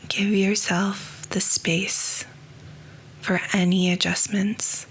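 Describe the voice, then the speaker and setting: A woman guiding a yoga nidra meditation, speaking softly and slowly, often close to a whisper. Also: medium pitch, level tone, slightly rough, rough recording. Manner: soft, slow and hushed, often near a whisper. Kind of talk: guided meditation.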